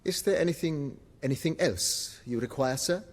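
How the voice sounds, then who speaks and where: A man playing a deferential, fussy butler in a video game, with a breathy, husky voice and formal, florid, obsequious speech. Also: on the high side, normal speed, long reverb tail, lightly acted. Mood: eager, deferential. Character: deferential, fussy, eloquent male butler of an estate.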